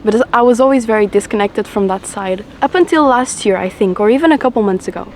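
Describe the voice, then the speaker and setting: A young woman in her twenties with a naturally low voice talks in a relaxed, rambling, confessional way while smoking a cigarette. Her pitch sits mid-range, with faint traffic noise outdoors behind her. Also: quick pace. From Portugal. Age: 20s. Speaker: vlogger. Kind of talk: casual chat to camera.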